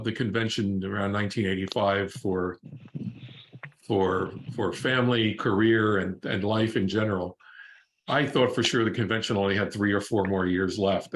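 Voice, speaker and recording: An older man speaking informally and haltingly on a group video call, giving remembrances and club announcements. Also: lowish voice, slight background noise. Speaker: club member presenting a tribute.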